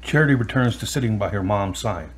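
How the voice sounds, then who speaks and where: A man's voice: lowish voice, expressive, fast, slightly rough. A man narrating a scripted audio drama as its storyteller.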